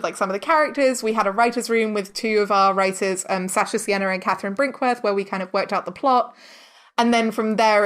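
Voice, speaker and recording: A woman's voice: mid-range voice, a bit bright. A woman talking casually as an interview guest, informal and chatty, peppering her speech with "like" and "kind of". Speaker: interview guest, audio drama director and producer.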